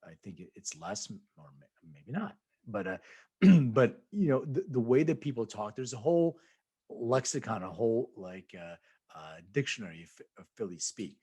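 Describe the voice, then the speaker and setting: Relaxed Philadelphia man telling stories. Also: mid-range voice, normal speed, husky. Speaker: podcast co-host. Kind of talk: casual podcast chat.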